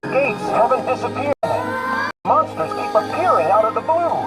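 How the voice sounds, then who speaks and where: A woman's voice: on the high side, animated, moderate pace, lightly acted, rough recording. A woman playing an alarmed character in a video game cutscene, explaining strange news urgently. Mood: urgent, worried. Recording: game audio, loud music and crowd.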